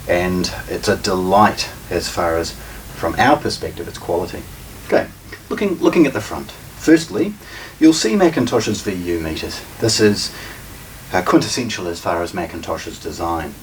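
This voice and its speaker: A man giving an unscripted product demonstration as a shop presenter, speaking in a measured, methodical way with halting phrasing. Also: on the low side. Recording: distant mic with faint room noise.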